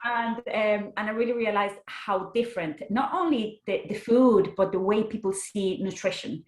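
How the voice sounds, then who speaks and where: A woman's voice: medium pitch, moderate pace, echoey room, slight background noise, rough recording. A young adult woman from Spain with a Spanish accent, a podcast guest chatting casually with frequent restarts. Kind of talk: casual interview chat.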